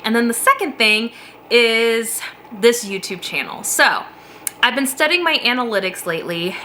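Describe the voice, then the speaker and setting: Bright-voiced chatty woman vlogger. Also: on the high side, a little hiss or hum. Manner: chatty, rambling, laughs often. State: slightly sniffly from a cold. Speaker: beauty vlogger. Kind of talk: casual chat to camera. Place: home basement office.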